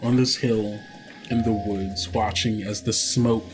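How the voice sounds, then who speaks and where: A man's voice: on the low side, steady tone, slow. A man narrating an actual-play tabletop game as game master, descriptive and a little hesitant in his storytelling. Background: guitar music under the voice.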